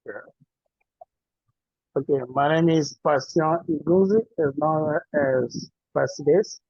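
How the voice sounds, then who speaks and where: A man from Eastern Congo introducing himself as an activist in a video meeting, halting and searching for words. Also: fairly high pitch, fairly even intonation, creaky, darkish tone, husky, no echo. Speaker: activist and party official. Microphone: video call. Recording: dull, dry video-call audio.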